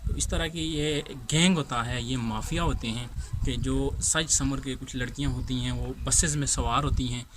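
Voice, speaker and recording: A Pakistani man hosts in an informal storytelling style at a middling pitch. He speaks haltingly, circles back over his phrases and puts questions that he answers himself. Clear noise runs through the amateur recording. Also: steady tone. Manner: halting, repetitive, asks rhetorical questions. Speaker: host.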